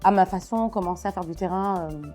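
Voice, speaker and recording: A woman from Niger speaking as a journalist in a conversational interview, telling personal stories with halting self-corrections. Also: lowish voice, warm tone, faint music behind.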